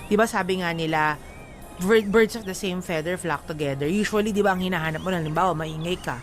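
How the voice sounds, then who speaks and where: A woman's voice: lowish voice, brisk, faint music behind. A talkative, frank woman with a Filipino accent co-hosting a radio show in casual, slangy banter. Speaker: radio co-host.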